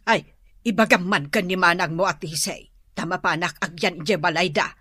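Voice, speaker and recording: A woman playing a fretful, nagging mother who longs for a grandchild, husky and insistent, in an Ilocano radio drama. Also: on the low side, moderate pace, sharp tone, no echo. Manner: insistent, worrying.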